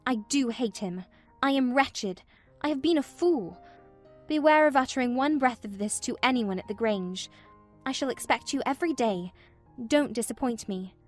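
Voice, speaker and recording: A vexed young gentlewoman, played by a woman, tells her wretched tale as if from a letter. Her voice sits fairly high, the storytelling is measured and literary, and music plays faintly behind. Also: animated, lightly acted. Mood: wretched pleading. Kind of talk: dramatized audiobook narration.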